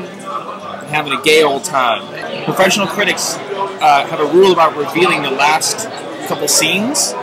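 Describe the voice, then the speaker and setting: A man's voice: fairly high pitch, moderate pace, over a noisy crowd. A young man co-hosting a casual review chat with dry, mock-serious asides, filmed up close at a restaurant table. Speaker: co-host. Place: busy restaurant bar at night. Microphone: camera held close at the table. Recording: very loud bar music behind.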